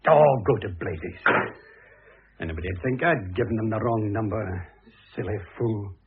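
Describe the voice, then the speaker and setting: An actor in an old-time radio drama playing an irascible, scheming, jealous husband who blusters indignantly and slyly. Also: male voice, on the low side, normal speed, creaky, heavy background noise. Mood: indignant, blustering. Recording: muffled, narrow-band old radio recording.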